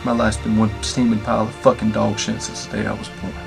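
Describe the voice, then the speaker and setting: A man's voice: on the low side, monotone, quick pace, creaky, over music. A man playing a defiant, foul-mouthed drug dealer with a short fuse in a screen drama, blunt and streetwise. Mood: agitated, angry. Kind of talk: naturalistic screen drama acting.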